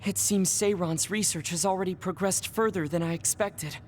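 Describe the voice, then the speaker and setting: A man voicing a reserved young male soldier who hides a secret, speaking formally and softly. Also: sits high, moderate pace, a little hiss or hum, lightly acted. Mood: worried, formal. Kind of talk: game cutscene dialogue. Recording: game audio with music behind.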